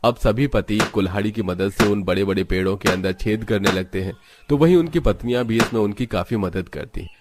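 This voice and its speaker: Low-voiced man narrating a story. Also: fairly quick, over music. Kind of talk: scripted cartoon story narration.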